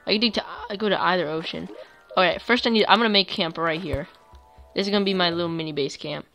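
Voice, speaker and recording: A woman casually commentating her own gameplay for viewers, chatty and quick to get excited. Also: fairly low pitch, soft background music. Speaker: gaming YouTuber. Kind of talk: let's play commentary.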